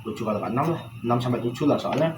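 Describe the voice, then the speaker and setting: A young male student talks. His speech is colloquial and casual as he lays things out point by point. The recording carries clear background noise. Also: mid-range voice, rapid-fire, echoey room. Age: young adult. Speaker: university student, counselling client. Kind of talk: casual conversation.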